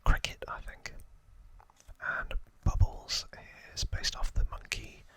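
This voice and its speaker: A man hosts an ASMR trivia session entirely in a hushed whisper. His delivery is very slow and informal, as if explaining things off the cuff. The whisper has a bright, sharp edge. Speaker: ASMR host.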